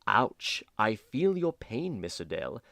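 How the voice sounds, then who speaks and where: A man's voice: fairly low pitch, sing-song, unhurried, gravelly, fairly bright tone, no echo. Theatrical man narrating a fan drama. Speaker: narrator voicing a headmaster.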